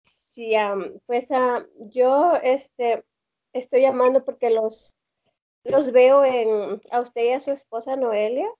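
A young woman with a Mexican accent calling in on a video call from home, telling her story haltingly with many fillers and restarts. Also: a little high, fairly even intonation, dark tone. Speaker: caller. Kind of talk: hesitant personal testimony on a video call. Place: home room indoors. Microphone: laptop or phone on a video call. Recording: dull lo-fi video call audio.

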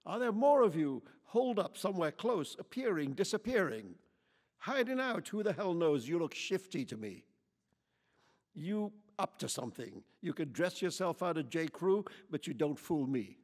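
A man's voice: a little high, expressive, a little slow, in a large hall. A man reading aloud and voicing a hostile, accusing young American woman who confronts strangers at a campground, with drawling slang.

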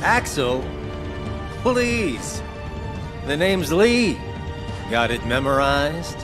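A man's voice: on the high side, very animated, extremely slow, full character voice. An actor playing a cocky, laid-back young man in a video game, with a cool, showy delivery. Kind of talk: video game cutscene acting. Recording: clear music behind the voice.

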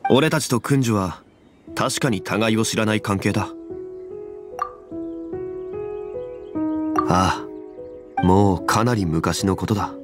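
An actor playing a calm, dignified immortal former god in a fantasy game, speaking in a composed and formal way. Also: male voice, fairly low pitch, moderate pace, music in the background, natural voice. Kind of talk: Japanese game voice acting, dramatic dialogue.

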